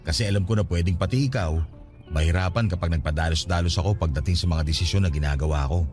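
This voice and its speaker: An actor playing an earnest, responsible young Filipino man in a radio drama, speaking in an expressive, conversational way. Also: low-pitched, quick pace, faint music behind, lightly acted.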